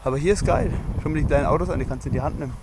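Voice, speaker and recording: A man's voice: on the high side, racing. A man chatting casually and jokingly while filming himself outdoors at a windy lookout. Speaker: traveler filming a trip. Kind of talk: casual vlog chat.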